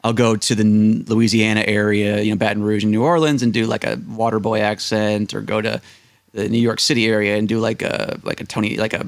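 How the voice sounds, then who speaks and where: A man's voice: fairly low pitch, level tone, brisk, vocal fry, no room sound. American man telling anecdotes, dry close sound. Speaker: podcast guest. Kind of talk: casual interview anecdotes. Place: small indoor room, seated at desk. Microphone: close desk microphone on video call.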